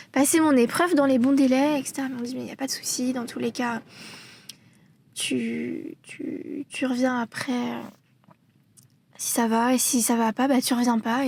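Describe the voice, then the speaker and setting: High-voiced woman telling a personal story. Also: far from the mic. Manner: candid, informal, conversational. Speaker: podcast host. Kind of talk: personal storytelling monologue, casual.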